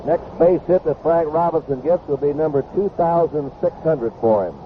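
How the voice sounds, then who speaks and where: A man's voice: fairly high pitch, moderate pace. A man calling baseball play-by-play on live radio in terse, clipped phrases. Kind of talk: live radio sports commentary. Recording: noisy phone-like old radio broadcast.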